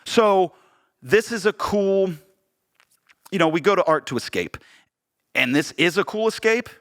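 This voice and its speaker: A man's voice: high-pitched, expressive, unhurried, fairly bright tone. A man with an American accent talking casually on a podcast as co-host, often searching for words and repeating phrases.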